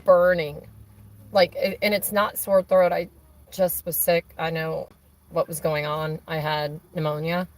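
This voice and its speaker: A woman with a hoarse, raspy voice chatting casually on a live stream, rambling with many fillers and restarts. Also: fairly low pitch, flat delivery, a little slow, poor audio. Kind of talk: casual chat on a phone call during a stream.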